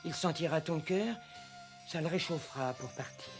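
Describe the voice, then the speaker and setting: Man as compassionate animal doctor, cartoon, higher male voice, soothing, background music. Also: normal speed, lightly acted. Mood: gentle. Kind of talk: cartoon voice acting.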